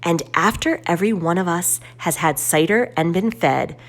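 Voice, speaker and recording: A woman reading a storybook aloud to children in a deliberate, expressive storytelling manner. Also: lowish voice.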